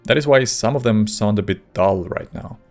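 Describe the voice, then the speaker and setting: A man narrates a scripted video in a measured, wry manner. His voice sits fairly low with a lot of fry, and faint music plays underneath. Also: darkish tone. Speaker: video creator and modder.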